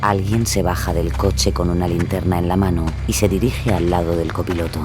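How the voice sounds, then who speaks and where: A man's voice: sits low, fairly even intonation, a little fast, over music. A man narrating a scripted, dramatic true-crime reenactment.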